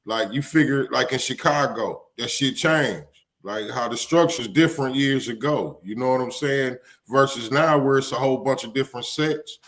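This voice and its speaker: A man's voice: mid-range voice, fairly bright tone. A man in a casual podcast chat, explaining his view in a laid-back, profane way.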